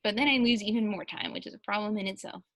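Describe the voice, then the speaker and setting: A woman's voice: mid-range voice, fast, no echo. A woman in a casual panel interview, chatting and reading out answers as a translator.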